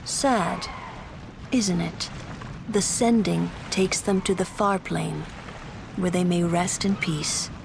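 A woman playing a composed, stern, world-weary young mage in a video game, speaking in a measured, solemn, slightly condescending way. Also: fairly low pitch, gravelly, noisy background. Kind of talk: video game cutscene acting.

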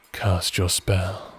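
A man's voice: deep voice, breathy edge, heavily acted. A man playing an affectionate, playfully wicked necromancer husband in a theatrical, tender ASMR roleplay. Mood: seductive, teasing. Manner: tender, teasing, theatrical, often chuckling. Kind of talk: ASMR romantic roleplay audio drama.

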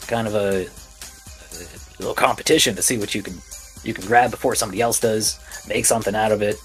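A man's voice: on the low side, steady tone, brisk, soft background music, close to an everyday voice. A man playing an easygoing, scrappy junk scavenger in a tabletop game, talking in a casual, folksy way. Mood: easygoing, chatty. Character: easygoing scrappy junk-scavenging male local with robot dog.

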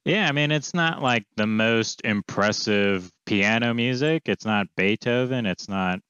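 A man's voice: on the low side, a little slow, fairly bright tone, clear, resonant tone, no echo, highs cut off. A man in his thirties giving a casual screencast tutorial, informal and offhand, thinking aloud as he explains. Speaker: coding tutorial presenter. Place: small indoor room, screen recording with webcam.

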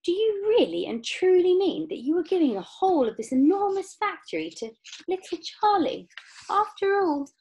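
A woman's voice: medium pitch, very animated, moderate pace, few pauses, breathy edge, rough recording. A woman voicing an anxious but kindly old grandfather in an earnest, questioning way. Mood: stammering disbelief.

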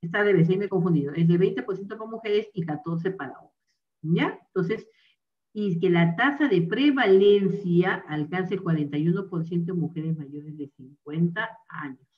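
A woman's voice: lowish voice, moderate pace. A woman doctor giving an online medical lecture, explaining carefully and checking in with her listeners. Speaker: rheumatologist giving a talk. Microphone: video call microphone. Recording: dull distant video call audio.

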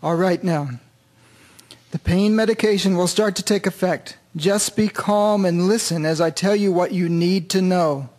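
An actor in a radio drama playing a calm, kindly army doctor and commanding officer with a measured, reassuring manner. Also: male voice, sits high.

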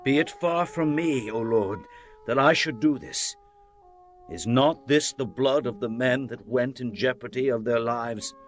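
An actor playing an aging, devout biblical king in dramatized scripture, speaking in a deliberate, declamatory way. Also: medium pitch, soft background music, lightly acted. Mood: devout, grave.

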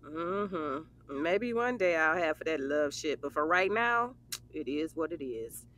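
An actress playing a brash, bawdy but loyal young best friend, blunt and teasing, in an audio drama. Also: female voice, low voice, expressive, a little slow, fairly bright tone. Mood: caring, frank. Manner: sassy, blunt, foul-mouthed, teasing. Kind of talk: casual conversational audio drama.

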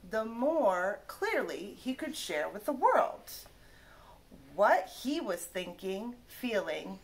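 A woman in her thirties reading a children's picture book aloud like a teacher, stressing words carefully one by one. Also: medium pitch. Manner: careful, drawn-out word-by-word emphasis. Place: small living room, indoors.

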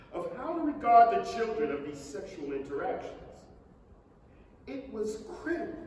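High-voiced man reading in an echoing hall. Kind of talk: staged reading of historical nonfiction. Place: stage of a large theatre. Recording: echoey theatre hall from far off, faint noise.

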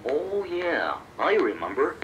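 Bright, goofy, excitable little sidekick creature. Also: male voice, fairly high pitch, slow pace, highs cut off, slight background noise, full character voice. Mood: cheerful, eager. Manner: exclaiming, giggly, eager. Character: Grubby, excitable childlike goofy sidekick creature, easily scared, silly. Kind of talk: children's audio story acting. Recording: promotional children's cassette tape.

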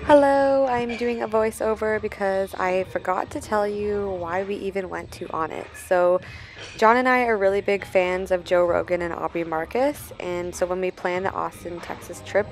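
A woman's voice: mid-range voice, normal speed, soft background music. A young woman vlogger doing a casual voiceover, chatting and explaining a workout step by step. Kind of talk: casual travel vlog voiceover.

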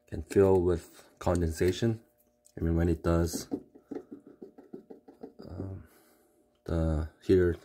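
A man casually explaining a home heater repair, halting with fillers and restarts, recorded on a phone in a basement utility room. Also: low voice, warm tone. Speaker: homeowner showing a furnace repair. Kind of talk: casual how-to video. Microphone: phone camera held close.

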